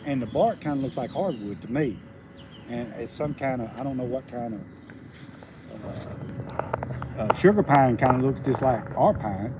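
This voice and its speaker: A man in his fifties with a Southern US accent, rambling in a folksy, halting way during a casual outdoor livestream. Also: mid-range voice, expressive. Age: 50s. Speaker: homesteading livestream host, logger. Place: outdoors on a rural roadside. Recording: outdoor noise with birds. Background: birds and rural outdoor ambience.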